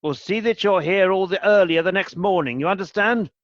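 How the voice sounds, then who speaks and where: Guy acting a grumpy old miser in a radio play, highish voice, curt and scornful, kinda muffled lo-fi sound. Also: a little fast, no echo, natural voice. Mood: grudging, irritated. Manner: curt, scornful, barking orders. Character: cold, grumpy elderly male miser and employer.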